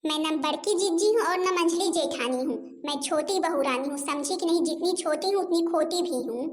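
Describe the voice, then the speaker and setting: A woman voicing a defiant, sharp-tongued young daughter-in-law in an amateur cartoon comedy. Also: sits high, close to an everyday voice. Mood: defiant, threatening. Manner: cheeky, threatening, rapid-fire.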